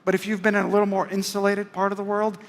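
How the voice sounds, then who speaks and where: High-pitched male executive, conversational, echoing conference stage. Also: monotone, fast. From United States. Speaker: panelist, business executive. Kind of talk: panel discussion. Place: large conference hall stage. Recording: long hall reverb.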